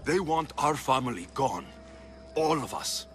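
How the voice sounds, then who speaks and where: A man's voice: mid-range voice, lively intonation, measured pace, fairly bright tone. A man playing an earnest, determined Greek mercenary in a dramatic video game, speaking with impassioned conviction. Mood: earnest, persuading. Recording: dramatic music behind.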